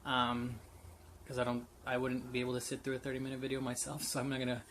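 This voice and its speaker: A man's voice: medium pitch, monotone, fast, fairly bright tone, slight background noise. A man in his late twenties telling a personal story off the cuff, candid and rambling with many fillers and restarts. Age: 20s. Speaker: vlogger. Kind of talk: unscripted personal story to camera.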